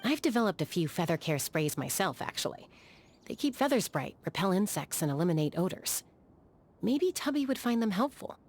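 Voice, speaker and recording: A woman playing a calm, battle-hardened young sky gunslinger in a video game, measured, thoughtful and matter-of-fact, with a dry edge. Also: lowish voice, a little hiss or hum. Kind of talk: video game character voice lines.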